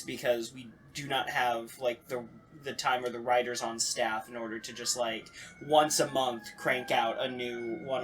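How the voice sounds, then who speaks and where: A man's voice: mid-range voice, fairly bright tone, a little hiss or hum. A man hosting a casual podcast chat, telling stories animatedly and acting out imagined reactions. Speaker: podcast host. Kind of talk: casual chat about radio plays.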